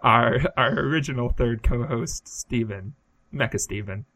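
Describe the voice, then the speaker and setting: Deadpan man spinning absurd bits. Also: mid-range voice, measured pace. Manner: deadpan, spins absurd tall tales. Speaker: podcast co-host. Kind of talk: casual comedic banter.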